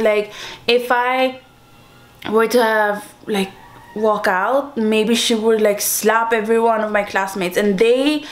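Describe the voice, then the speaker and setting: A young woman raised in Sweden chatting casually to her viewers, laughing often and filling her story with likes and restarts. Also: on the high side. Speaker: vlogger. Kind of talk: casual storytelling mukbang vlog. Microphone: camera-mounted shotgun mic.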